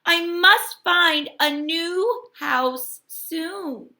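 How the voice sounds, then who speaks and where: A woman plays a gentle storybook hermit crab, earnest and polite, with a high, bright voice. The delivery is expressive and emphatic, in an echoey room. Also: very slow, full character voice. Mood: anxious. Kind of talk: children's storybook read-aloud.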